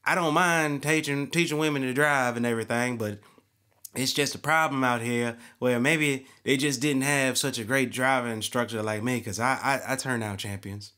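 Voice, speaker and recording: A man's voice: mid-range voice, fairly even intonation, normal speed, sharp tone, lightly acted. A man playing a boastful, folksy race car driver turned instructor in a comedy radio interview.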